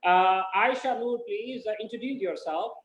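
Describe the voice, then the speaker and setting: Pakistani-born male English teacher, halting, fillers; high voice, roomy sound. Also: fairly bright tone, highs cut off. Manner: hesitant, many fillers. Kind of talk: self-introduction on a video call. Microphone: video call. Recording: echoey room on a call.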